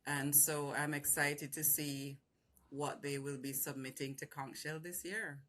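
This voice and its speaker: A woman's voice: sits low, level tone, unhurried, fairly bright tone. A woman with a Jamaican accent, chatting effusively as a film programmer over a video call, laughing often. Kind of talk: casual video-call chat.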